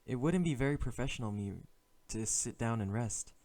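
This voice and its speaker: A man's voice: mid-range voice, expressive, brisk, darkish tone, lightly acted. A man playing an exasperated assassin who poses as a dutiful bodyguard in an ASMR audio roleplay, alternating polite formal address with grumbling inner thoughts. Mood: hesitant, formal.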